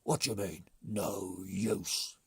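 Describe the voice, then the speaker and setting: A blustering storybook brigand chief, acted out by a man reading aloud. He is boastful and quick to take offence. His speech is husky, emphatic and unhurried. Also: low voice, steady tone, pitched below the performer's own voice, heavily acted. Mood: indignant. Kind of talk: storybook character voice.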